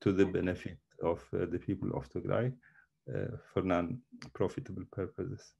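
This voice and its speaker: A man speaking as a guest at an online presentation, with halting delivery. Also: low voice, little pitch movement, creaky, mellow, breathy edge. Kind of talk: presentation remarks. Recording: muffled, dull video-call audio.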